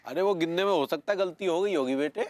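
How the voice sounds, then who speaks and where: A man's voice: a little high, fast, natural voice. An actor playing a haughty, wheedling man who begs for alms in a broad Hindi sitcom. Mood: businesslike.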